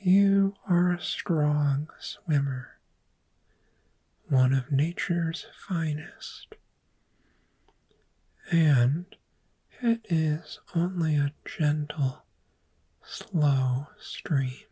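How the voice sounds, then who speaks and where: A woman playing a calm, gentle dragon therapist in a soft-spoken ASMR roleplay, speaking in a breathy, soothing whisper with long pauses. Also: low-pitched, heavily acted. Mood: soothing, hypnotic.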